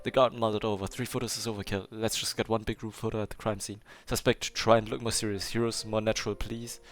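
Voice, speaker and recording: A man's voice: fairly low pitch, little pitch movement, fast talker. A native German-speaking man streaming a game, reading dialogue aloud with muttered commentary. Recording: game music behind the voice.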